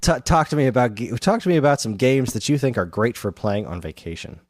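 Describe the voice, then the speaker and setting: An enthusiastic man chats casually as a podcast co-host. His voice moves widely in pitch and sounds dry and close. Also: fairly quick, no echo. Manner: enthusiastic, animated. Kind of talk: casual chat about video games.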